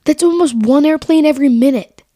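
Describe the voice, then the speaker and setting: A woman with a high voice co-hosts an educational podcast. Her delivery is scripted and aimed at young listeners. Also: a little fast, dark tone. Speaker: podcast co-host.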